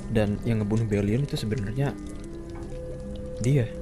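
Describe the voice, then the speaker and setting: A man acting as a solemn fallen angel warrior in a fantasy game roleplay. The voice is low, with clear music behind it. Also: moderate pace, natural voice. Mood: serious. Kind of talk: dramatic roleplay storytelling.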